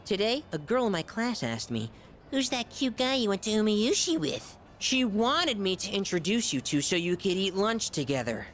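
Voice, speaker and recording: An actor voicing a gluttonous, self-important high-school boy in a video game, in a bombastic, theatrical manner. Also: sing-song, normal speed, a bit bright, slight background noise. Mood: gloomy. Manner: bombastic, dramatic swings between squeals and low asides. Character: gluttonous, pompous, theatrical male high-school student, self-styled gourmet.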